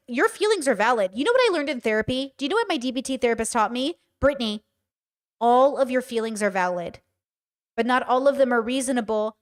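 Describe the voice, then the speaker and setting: A woman in her thirties with a fairly high voice, holding forth to a live chat. She speaks bluntly and swears freely, and she keeps throwing questions back at her audience. Her commentary is unscripted and conversational. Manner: candid, profane, talks to her audience with rhetorical questions. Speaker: streamer. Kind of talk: livestream commentary, reading chat.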